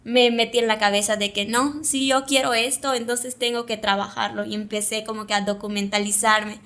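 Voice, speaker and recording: Woman, young adult, Maya speaker, hesitant, reflective, fairly high pitch. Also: fairly even intonation, moderate pace, a bit bright. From Mayapán, Yucatán, Mexico. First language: Yucatec Maya. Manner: reflective, hesitant, many fillers. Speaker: guest, communicator. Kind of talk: casual interview conversation.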